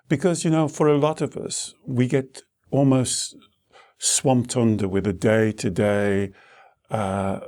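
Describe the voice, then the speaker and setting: Man, low relaxed voice, very soft-edged tone, guided meditation, short paused phrases, reassuring teacher. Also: creaky. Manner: unhurried, reassuring, pausing between short phrases. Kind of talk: guided meditation, gentle spoken instruction. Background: soft instrumental music.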